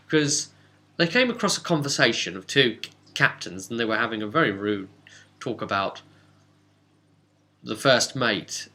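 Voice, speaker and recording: A man's voice: lowish voice, pushed and bright. A man, chatty and rambling, casually retelling a tabletop role-playing session as its game master and slipping into dialogue. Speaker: game master and vidcast host.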